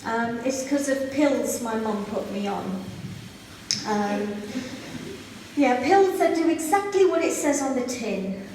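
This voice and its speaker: A high-voiced woman from Zambia works through a stand-up set, playful and quick to giggle, though she talks at an unhurried pace. Her voice comes from a distance across a club, with a strong echo and a long reverb tail. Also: lively intonation, a little mellow, slight background noise. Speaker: stand-up comedian. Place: comedy club stage. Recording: echoey club sound from far off.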